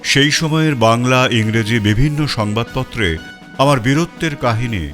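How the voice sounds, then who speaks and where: Expressive male storyteller reading a detective story. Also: medium pitch, normal speed. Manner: expressive, rising and falling. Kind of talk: dramatic first-person detective story reading. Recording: faint music bed.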